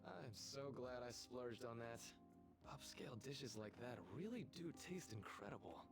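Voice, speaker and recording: A man voicing an earnest, polite counselor and researcher in scripted game dialogue, speaking in a measured, formal way. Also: mid-range voice, animated, vocal fry, faint music behind. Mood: grateful, composed.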